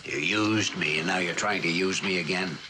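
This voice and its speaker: An actor playing a proud, cornered American scientist, terse and defensive, in an old suspense drama. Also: male voice, low voice, normal speed, natural voice. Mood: bitter, defiant. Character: proud, cornered male government scientist, guarded and irritable. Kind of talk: mid-century American suspense drama acting. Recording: noisy old broadcast drama soundtrack.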